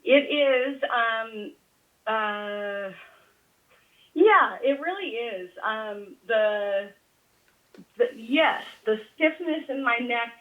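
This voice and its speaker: A woman speaks through a thin, narrow phone connection. She talks casually as a patient, in a halting way, often leaving thoughts hanging as she searches for words. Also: mid-range voice. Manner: hesitant, halting, trailing off between thoughts. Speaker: patient on a call. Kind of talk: casual personal conversation. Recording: thin, band-limited phone call.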